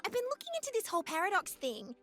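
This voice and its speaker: A woman voicing an eager, excitable little inventor character in a fantasy game. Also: very high voice, very fast, soft background music, full character voice.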